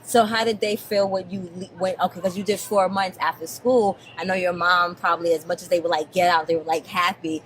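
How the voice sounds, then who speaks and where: A woman's voice: lowish voice, steady tone, a little fast, hardly any pauses, fairly bright tone, a little hiss or hum, poor audio. A woman hosting a casual interview, asking questions and reacting in an informal way. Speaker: interviewer, show host.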